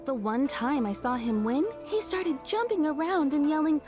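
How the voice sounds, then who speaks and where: A woman's voice: high-pitched, sing-song, normal speed. A cheerful chatty townswoman. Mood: warm, amused. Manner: chatty and amused, tells anecdotes. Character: cheerful young woman of the town, fond storyteller. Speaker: townsperson in a game. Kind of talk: video game voice acting. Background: soft game music.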